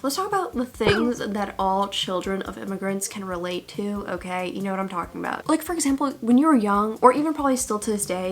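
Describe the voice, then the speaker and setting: A young American woman in her twenties talks straight to her viewers in a relaxed, playful vlog style. She tosses in sassy little asides. Her pitch sits right in the middle for a woman. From United States. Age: 20s. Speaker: YouTuber. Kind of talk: casual vlog chat.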